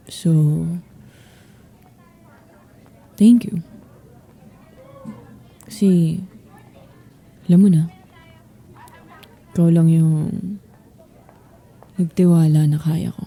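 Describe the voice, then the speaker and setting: Husky, mellow young Filipina actress as varsity crush. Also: female voice, deep voice, full character voice. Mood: sincere, tender. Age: young adult.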